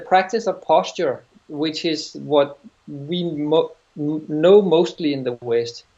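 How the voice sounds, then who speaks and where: A middle-aged man with a Danish accent, answering interview questions as a yoga teacher, thoughtful and halting, often restarting phrases. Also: a little high, a little mellow. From Denmark. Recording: dull lo-fi sound.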